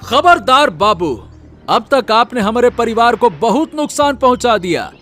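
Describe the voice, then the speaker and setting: A man acts out a rustic middle-aged farmer father in a cartoon. His voice is high for a man and swoops in pitch as he speaks emphatically and protectively, with faint music behind. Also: sing-song, unhurried, pushed and bright, full character voice. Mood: threatening.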